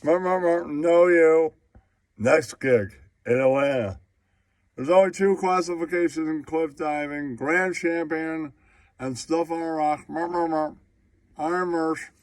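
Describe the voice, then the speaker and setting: A smug hack comedian puppet, acted by a man, repeating himself with babbled nonsense syllables. He talks slowly in a fairly high male voice. The recording is dry. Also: fairly even intonation, dry recording.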